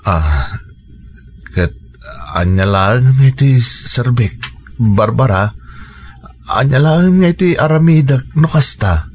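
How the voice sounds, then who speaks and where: Slow-talking, dejected Ilocano husband. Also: male voice, medium pitch, expressive, darkish tone. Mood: sullen. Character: jobless, dejected husband and father who drinks. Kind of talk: Ilocano radio drama acting. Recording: noticeable background noise and ambience.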